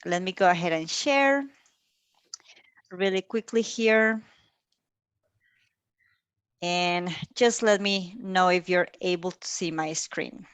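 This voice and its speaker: A woman's voice: fairly low pitch, slow, long pauses, clean tone, no room sound. A woman in her thirties from Costa Rica giving an online presentation to colleagues over a video call, chatty, with frequent ums. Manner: warm, chatty, filler-heavy with frequent ums. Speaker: guest speaker.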